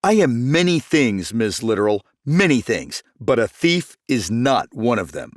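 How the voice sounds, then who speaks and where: A man playing a self-important, defensive accused businessman in an animated comedy, speaking emphatically. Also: medium pitch, a little slow, no room sound, clean studio sound.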